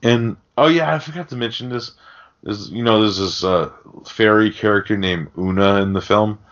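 A man's voice: on the low side, normal speed, a bit bright, muffled recording. A man hosting a podcast, casually recapping a film in slangy, swear-heavy talk. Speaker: podcast host.

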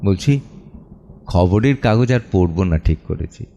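A man playing an anguished, protective middle-aged father in an audio drama monologue, with halting, emotive delivery full of pleas and pauses. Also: low voice, expressive, gravelly, mellow, slight background noise, exaggerated voice. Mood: weary, subdued. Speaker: voice actor and reciter. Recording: music under the voice. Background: background music.

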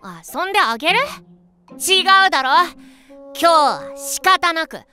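A man's voice: extremely high pitch, very animated, measured pace, bright, forceful tone, faint music behind, heavily acted. A man voicing a cheeky, bossy little kindergarten boy with a brash, condescending way of talking in an anime drama. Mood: cheeky, scornful.

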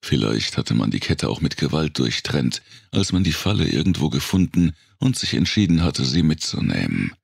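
A man reading a dark thriller audiobook as a dramatic narrator, brooding and deliberate, with pauses for effect and a gravelly, husky voice. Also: rumbling low, very animated, no echo, studio quality.

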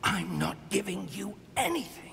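Elderly professor, gravelly, expressive. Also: male voice, high-pitched, full character voice. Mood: defiant. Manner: measured, grave, scholarly. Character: earnest, awed elderly male wizard professor. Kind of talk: cinematic fantasy game acting.

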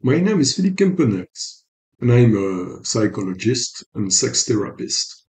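A middle-aged man with a French accent explains a clinical topic in a careful, measured way, as if lecturing over slides. His pitch rises and falls a great deal, and his voice has a gravelly edge. He sounds like he is speaking into a desk webcam at home. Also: big pitch swings, darkish tone. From Belgium. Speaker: psychologist and sex therapist.

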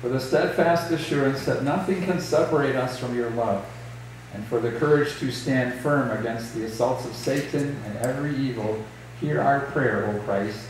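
A middle-aged man leads a church service in a slow, solemn liturgical cadence. His voice sits in a middle register. It reaches the microphone from a distance in a strongly echoing sanctuary, over a faint hum. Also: mid-range voice. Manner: measured, solemn liturgical delivery. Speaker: pastor. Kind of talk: liturgy and scripture reading. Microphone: distant camera, later lectern mic.

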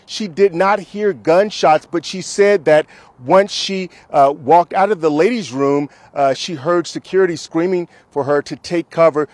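A man in his thirties gives an earnest live field report into a handheld mic. His voice sits a bit high, and the sound is dry and close. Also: darkish tone, no echo. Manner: earnest, emphatic live delivery. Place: outdoors in a mall parking lot.

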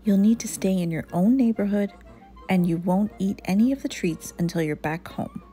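A woman's voice: mid-range voice, moderate pace, mellow, faint music behind, close to an everyday voice. Woman playing a patient, firm mother bear. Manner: patient, instructive.

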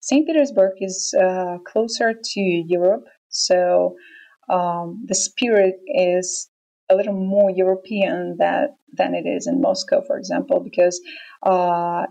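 Russian-accented woman, band interviewee, slow and measured, mellow gravelly tone. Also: on the low side, fairly even intonation, poor audio. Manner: measured, hesitant with frequent ums. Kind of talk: casual interview answers.

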